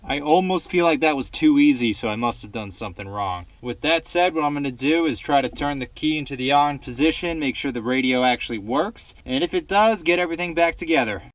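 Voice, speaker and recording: A man's voice: a little high, animated, fairly quick, few pauses, dry recording. A young man narrating a casual DIY how-to vlog inside a car, with a wry, self-deprecating manner. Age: young adult. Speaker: DIY car project presenter.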